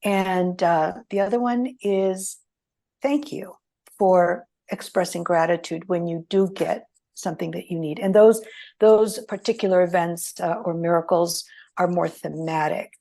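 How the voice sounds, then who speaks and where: A woman whose first language is Greek, speaking as an interview guest in a reflective, halting storytelling way. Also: on the low side, steady tone, vocal fry, warm tone. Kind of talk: interview conversation.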